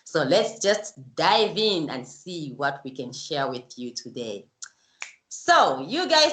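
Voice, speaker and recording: A woman's voice: fairly low pitch, a bit bright, echoey room. A woman hosting her own show, chatting in a casual interview with effusive, gushing praise. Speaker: YouTube show host.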